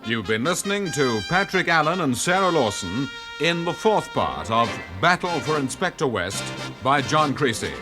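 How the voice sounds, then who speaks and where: A man's voice: fairly high pitch, very animated, moderate pace. A man announcing the credits of a radio drama in a scripted style. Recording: loud theme music under voice. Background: loud music.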